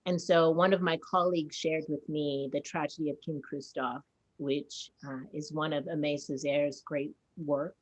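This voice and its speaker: A woman's voice: deep voice, little pitch movement, moderate pace, rough recording. A Haitian-born woman who works as a theater director and actor, speaking earnestly in a panel conversation.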